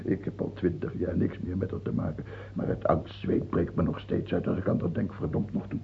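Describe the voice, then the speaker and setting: An actor playing a sick, paranoid, self-pitying old king in a radio drama, with a husky, gravelly voice and a theatrical, halting delivery. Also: low voice, level tone, slight background noise. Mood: irritable, brooding. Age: older. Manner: theatrical, halting, swinging between whining and outbursts. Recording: dull, muffled old radio recording.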